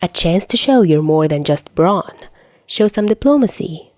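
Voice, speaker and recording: A stern, composed woman in charge, put on by a female story reader, speaking firmly and deliberately to a subordinate. The voice is fairly low and mellow, recorded close and dry. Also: lively intonation, no room sound, natural voice. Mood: firm, encouraging. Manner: firm, deliberate. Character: stern, composed female superior giving orders to her subordinate.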